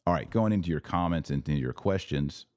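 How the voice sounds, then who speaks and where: A man with a very low, deep voice hosts a podcast. He reads viewer comments aloud and answers them sarcastically. The sound is dry and close. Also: lively intonation, fast, a little mellow, no room sound. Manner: preachy when he turns serious. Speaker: podcast host.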